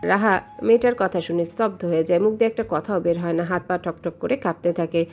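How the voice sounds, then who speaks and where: A woman's voice: on the low side, fast talker, darkish tone. A woman reading a scripted romantic story as its narrator. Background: background music.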